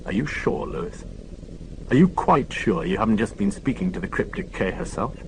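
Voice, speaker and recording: A man's voice: lowish voice, animated, normal speed, a little hiss or hum, rough recording. A man reading an audiobook, voicing a dry, sardonic middle-aged detective inspector. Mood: impatient.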